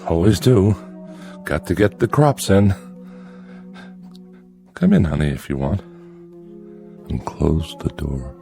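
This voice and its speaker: An actor playing a nervous farmer father in a radio drama, folksy and halting, placating with false joviality. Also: deep voice, sing-song, creaky, warm tone, faint music behind, heavily acted. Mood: weary, evasive.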